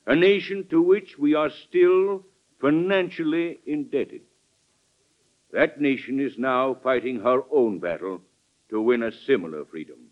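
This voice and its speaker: An actor playing a reasonable, polished Secretary of State in a classic radio drama, speaking in a careful, formal, tactful way. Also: male voice, medium pitch, unhurried, lightly acted. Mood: oratorical. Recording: dull old radio recording.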